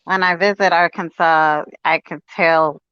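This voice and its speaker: A woman's voice: fairly low pitch, fairly even intonation, unhurried, a bit bright, clean tone, no echo, dull audio. A woman from California calling in to a live-stream chat, talking in a hesitant, polite way. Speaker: caller.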